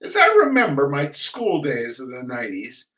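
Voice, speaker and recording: An older man hosting a reading of humorous essays, chatting casually and reading aloud in a wry, theatrical, halting manner. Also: mid-range voice, huge pitch swings, pushed and bright. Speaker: host of a weekly humor reading. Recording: roomy echo, distant microphone.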